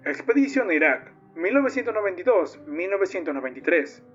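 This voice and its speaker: A man's voice: high voice, sing-song, fairly quick, lo-fi sound, faint music behind. A man playing a formal, solemn documentary narrator, reading an official report in a scripted analog horror video. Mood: solemn, ominous.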